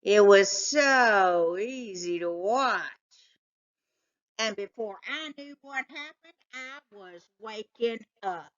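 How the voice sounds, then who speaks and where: A woman voicing an excitable, suspicious male cat from a children's storybook, telling his tale with dramatic suspense. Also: mid-range voice, takes it slowly, dull audio, natural voice. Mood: suspenseful.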